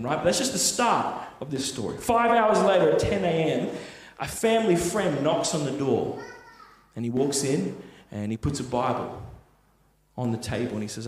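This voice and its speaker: Earnest preacher in an echoey hall. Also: male voice, mid-range voice. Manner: earnest, conversational with tag questions. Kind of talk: sermon storytelling. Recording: echoey hall with long reverb.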